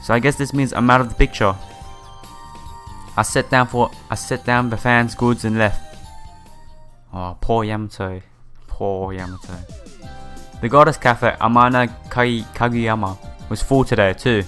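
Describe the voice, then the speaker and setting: Man, medium pitch, casual game playthrough narration, occasional stumbles, sympathetic commentary, background music. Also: steady tone, a little slow, pauses often, fairly bright tone. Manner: stumbles over read lines, sympathetic asides. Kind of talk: casual let's play, reading visual novel text aloud with asides. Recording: music behind the voice.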